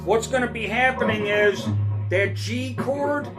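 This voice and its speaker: Unhurried man teaching guitar. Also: very high-pitched. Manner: relaxed, repeats "all right?" and "okay?" checks. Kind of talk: casual guitar lesson. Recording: faint guitar playing between phrases.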